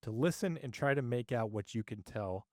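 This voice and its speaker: A man's voice: medium pitch, lively intonation, moderate pace, darkish tone, dry recording. Man presenting case analysis, dry close sound. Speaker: host, active 911 dispatcher.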